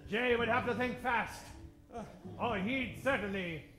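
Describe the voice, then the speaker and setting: A man's voice: very high voice, measured pace. A man narrating a comic old-time radio serial in a dramatic, tongue-in-cheek way. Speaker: narrator, adventure host. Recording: echoey stage, music behind.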